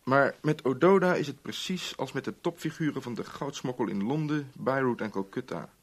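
An actor playing a sly, urbane chief inspector in a radio play, breezy and teasing in manner. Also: male voice, mid-range voice, moderate pace, husky. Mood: unruffled. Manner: breezy, teasing, evasive old-boy chattiness. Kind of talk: Dutch radio play acting.

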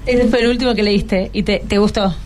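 A woman's voice: medium pitch, fairly even intonation, fairly quick, a little mellow, a little hiss or hum. A woman with a Buenos Aires accent hosting a conversational radio interview, prompting with short follow-up questions. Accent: Argentine, Buenos Aires. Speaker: radio host interviewing schoolchildren.

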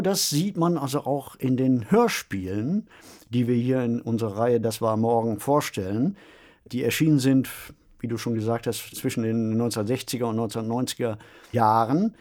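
A man's voice: medium pitch, darkish tone. A man in his sixties presenting a podcast conversationally, explaining and recounting things like a storyteller. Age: 60s. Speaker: podcast co-host; science fiction author.

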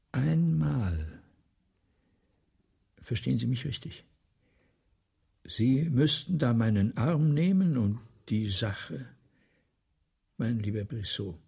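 Radio drama: weary, hesitant man of letters, slow and ponderous, raspy, breathy, formally polite. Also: medium pitch, mellow, full character voice. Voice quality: gravelly, breathy. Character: brooding, weary male writer, courteous and hesitant. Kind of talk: German radio play acting.

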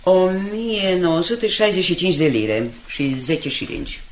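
A woman's voice: on the low side, very animated, a little hiss or hum. A woman playing an anxious, dutiful newspaper secretary in a radio drama, formal and deferential. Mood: alarmed, grave. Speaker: actor.

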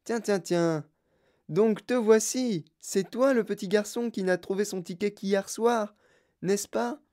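A storyteller voicing a sprightly, mischievous old chocolatier with a clear, fluty voice, excitable and effusively polite, in an audiobook. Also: mid-range voice, unhurried, pitched above the performer's own voice, close to an everyday voice. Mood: delighted. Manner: excitable, repeats himself in courteous bursts.

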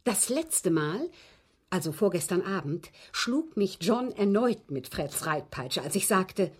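An actress in a German radio drama playing a well-bred, abused woman confessing a poisoning to the police, phrasing deliberately and emphatically in a husky, breathy voice. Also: mid-range voice, big pitch swings, full character voice. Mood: bitter, precise.

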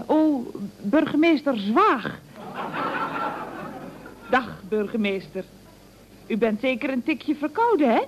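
An actress playing a cheeky, dry-witted office receptionist in radio comedy, with a mock-formal, teasing manner. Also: female voice, on the high side, a little hiss or hum, close to an everyday voice. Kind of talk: 1970s Dutch radio comedy acting. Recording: dull old radio recording.

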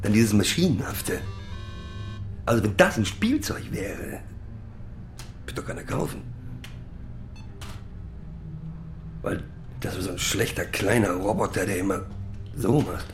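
A bitter male barfly in a radio play, with a low, creaky voice. He rants scornfully in halting, colloquial bursts and repeats himself, with faint music behind him. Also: a little mellow. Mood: scornful mockery. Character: bitter, ranting male pub regular. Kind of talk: naturalistic radio drama monologue.

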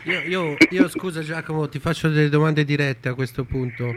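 A man's voice: fairly high pitch, moderate pace. A man hosting a casual radio interview, putting informal questions to his guest. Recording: radio with crowd murmur behind. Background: crowd.

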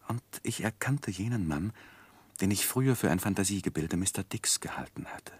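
A man's voice: deep voice, animated, normal speed, slightly rough, no echo, heavily acted. An actor playing an earnest, anxious young husband who also narrates his life in a 1960s German radio drama. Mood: reflective narration. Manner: earnest, hesitant in argument.

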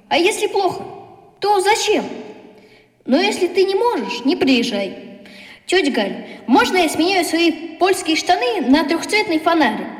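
High-voiced earnest schoolboy, in echoing reverb. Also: female voice. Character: earnest, hurt schoolboy who fled summer camp. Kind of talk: radio play acting. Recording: roomy studio with long reverb.

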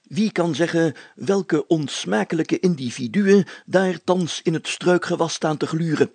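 A pompous high-pitched village mayor, gravelly. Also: male voice, a little slow, darkish tone, no room sound, exaggerated voice. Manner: pompous, formal, stately wording. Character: pompous, solemn, overcautious male village mayor.

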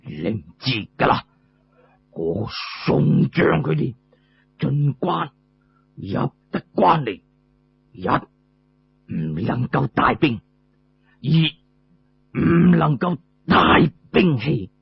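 A man playing a boastful, scheming warlord in Cantonese storytelling, talking in a pompous, drawn-out way. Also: medium pitch, sing-song, creaky, slightly rough, full character voice. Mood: boastful, confiding. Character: boastful scheming male warlord, drinking and gloating. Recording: muffled lo-fi recording.

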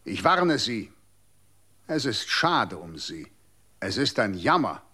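A stern warning official. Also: male voice, fairly low pitch, big pitch swings, slow, pushed and bright, husky, heavily acted.

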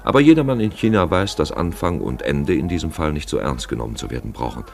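Male radio feature narrator: bass register, gravelly grain, animated storyteller's delivery, literary and wry. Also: fast. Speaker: narrator and presenter. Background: music.

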